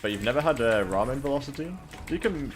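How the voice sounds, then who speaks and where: A man's voice: mid-range voice, expressive, quick pace. A young man from Wales, a streamer chatting casually on a live co-stream, rambling with lots of fillers and the odd swear.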